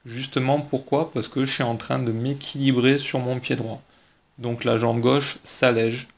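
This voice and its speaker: A man coaching skiing, talking calmly over slow-motion footage and walking through each movement with rhetorical questions. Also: medium pitch. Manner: patient, explains step by step with rhetorical questions. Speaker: ski coach.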